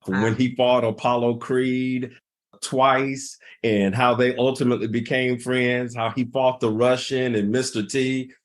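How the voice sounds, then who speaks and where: A man's voice: mid-range voice, flat delivery, unhurried. A middle-aged man speaking as a podcast guest, earnest and heartfelt, sometimes repeating words as he builds a point. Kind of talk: reflective interview conversation.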